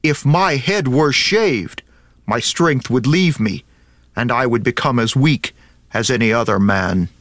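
A man's voice: mid-range voice, a little slow, fairly bright tone, dry recording. A man playing Samson, a mighty biblical strongman, solemn and defiant, in an earnest, declamatory radio drama style. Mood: solemn, confiding.

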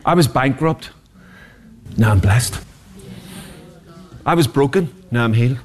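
A man's voice: mid-range voice, lively intonation, a little slow. A man, preaching a sermon as pastor, passionate and rhetorical with humorous asides. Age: 40s.

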